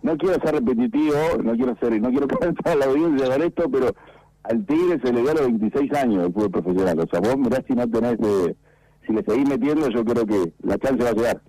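Argentine sports radio host, warm dark tone, dry studio mic, chatty and rambling. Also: male voice, mid-range voice, quick pace, no room sound, poor audio. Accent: Argentine Spanish. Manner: chatty, rambling questions with fillers.

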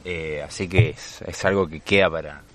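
Argentine man, low-pitched co-host, easygoing sports talk full of fillers. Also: fairly even intonation, moderate pace, fairly bright tone. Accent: Argentine Spanish. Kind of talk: casual sports radio chat.

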